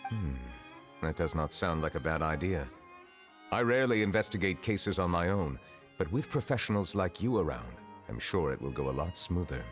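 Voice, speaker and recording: A man voicing a composed, dignified judge in a video game, speaking in a formal, measured and serious manner. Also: deep voice, lively intonation. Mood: calm, courteous. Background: music.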